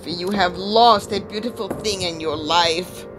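A woman's voice: lowish voice, music in the background, lightly acted, low-quality recording. A woman playing a weary old female spirit medium, solemn and foreboding, in a theatrical audio drama. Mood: stern, weary. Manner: solemn, dramatic, deliberate pronouncements.

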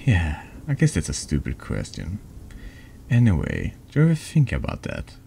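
Man playing a very deep, taunting confessional visitor. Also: wildly swooping pitch, moderate pace, vocal fry, warm tone, slightly rough, heavily acted. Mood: probing, cynical. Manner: snide, needling, persistent. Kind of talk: horror text-game dialogue. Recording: noticeable background noise.

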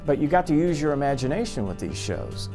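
A man's voice: a little high, big pitch swings, a little fast, warm tone. A man in his fifties with an American accent, a radio host telling his story as in an interview. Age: 50s. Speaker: old-time radio show host. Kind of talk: interview reminiscence. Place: small radio studio office. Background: plucked guitar music.

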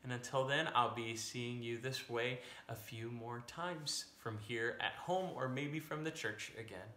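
A man's voice: medium pitch, a little slow, pushed and bright. A young American man speaking as a pastor giving a children's sermon, with simple, reassuring phrasing. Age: young adult. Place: small home room, indoors. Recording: echoey room, faint background noise.

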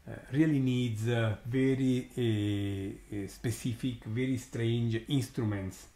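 A man with an Italian accent, his first language Italian, hosting a live astronomy stream and commenting slowly with many pauses and fillers, sounding amazed. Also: mid-range voice, steady tone, mellow, reverberant. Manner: slow, halting, many fillers, awed.

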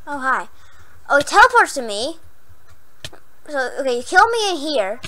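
A young woman playing a horror game, hoarse from losing her voice, jumpy and prone to yelps and squeals when startled, then chatty again. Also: high voice, sing-song, normal speed, bright, forceful tone. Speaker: gaming channel host. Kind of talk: Minecraft horror map let's play.

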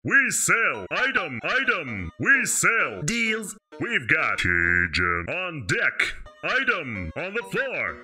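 A man's voice: on the high side, wildly swooping pitch, slow, sharp tone, faint music behind, heavily acted. A man voicing a pushy, glitchy puppet salesman in a comedy fandub, exclamatory and erratic. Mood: pushy, manic.

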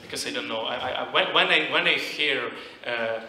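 A man in his thirties with a Ukrainian accent speaks in halting, unscripted English on a panel, searching for words. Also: medium pitch, bright, forceful tone. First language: Russian and Ukrainian. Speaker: comedian, panelist. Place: large glass-walled event space, panel before seated audience. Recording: echoey public hall, noticeable room noise.

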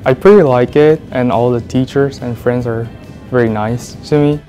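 A man's voice: medium pitch, steady tone, moderate pace, mellow, faint music behind. A teenage boy from South Korea with a Korean accent, answering an interview in simple English. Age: teens. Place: indoors by large windows. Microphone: lapel.

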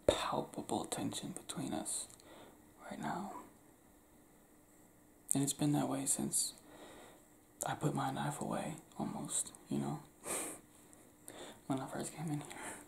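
Young man, half-whispered, laid-back, gravelly, close to the camera, small room. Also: medium pitch, steady tone, slow, pauses often, darkish tone, slight background noise, low-quality recording. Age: young adult. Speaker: ASMR roleplay creator. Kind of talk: ASMR roleplay, spoken to the listener. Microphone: camera close to face.

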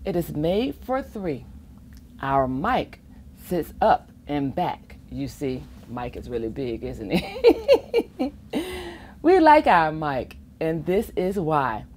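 A middle-aged woman reads a children's picture book aloud in a very slow, deliberate delivery. Her pitch swoops widely as she plays up the rhymes and adds playful asides. There is faint room noise behind her. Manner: expressive, chuckling asides, acts out the rhymes. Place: library children's reading corner, indoors.

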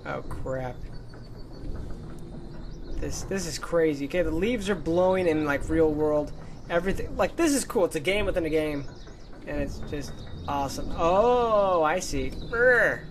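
A young man excitedly and chattily commenting as he plays a video game. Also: fairly high pitch, measured pace, slight background noise. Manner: excitable, chatty, swears lightly. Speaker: let's play gamer. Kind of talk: casual gameplay commentary. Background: game music.

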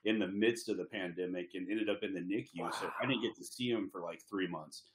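A man's voice: low-pitched, brisk, background noise. A middle-aged man co-hosting a podcast, telling personal stories in a casual way. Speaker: podcast co-host. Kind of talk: casual chat, personal anecdote.

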